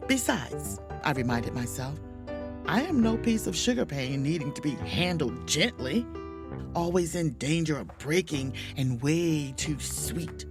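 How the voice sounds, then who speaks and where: A proud, easily offended chief mate confiding in her diary in an audio drama. Her voice sits low for a woman and swings widely with wry, theatrical emphasis, over faint music. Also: expressive, unhurried. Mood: indignant, determined. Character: proud, indignant, self-dramatizing spaceship chief mate on vacation.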